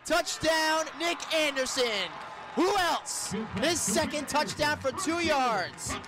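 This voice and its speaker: Male radio play-by-play announcer, high-pitched, excitable football caller who draws out the big moments. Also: slow pace. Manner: excitable, shouts and stretches words on big plays. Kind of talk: live football play-by-play commentary. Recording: live radio sports broadcast.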